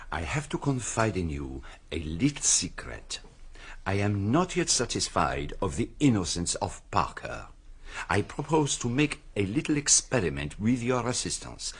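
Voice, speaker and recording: A man playing a courteous, shrewd foreign detective in a radio drama, formal and deliberate with quaint phrasing. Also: lowish voice, husky, full character voice. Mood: courteous, purposeful.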